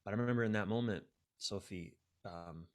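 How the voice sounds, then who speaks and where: Low-voiced man telling personal stories. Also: brisk. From United States. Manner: candid, self-deprecating, dramatizes inner dialogue. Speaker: podcast guest, relationship coach. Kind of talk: personal storytelling in a conversational interview.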